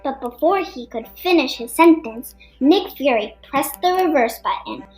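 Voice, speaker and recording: A woman narrates a children's story in a high-pitched voice. She reads from a script as a storyteller for young listeners. Soft music plays behind her. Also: animated, moderate pace, rough recording. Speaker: storybook reader for a podcast. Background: background music.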